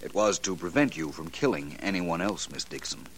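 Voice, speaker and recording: An actor playing a suave, clever detective in a 1940s radio drama, explaining in measured tones. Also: male voice, low voice, lively intonation, a bit bright, a little hiss or hum, poor audio. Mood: calm. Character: suave male detective, calm and cerebral.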